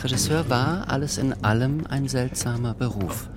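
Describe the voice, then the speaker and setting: A man reading scripted literary narration for a radio drama in a measured, reflective manner. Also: mid-range voice, noisy background. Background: music.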